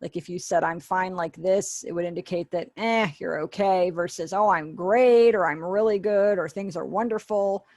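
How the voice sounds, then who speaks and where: Low-voiced woman teaching online. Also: moderate pace, hardly any pauses, a little mellow, dry recording, studio quality. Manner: patient, explanatory, acts out example phrases. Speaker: sign language instructor's voice. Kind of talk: online sign language lesson. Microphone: webinar video call.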